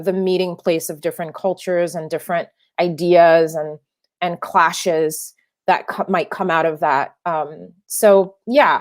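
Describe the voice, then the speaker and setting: A woman's voice: lowish voice, a little mellow. A young adult woman filmmaker whose first language is French, answering interview questions thoughtfully, with many restarts and fillers. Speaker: filmmaker guest.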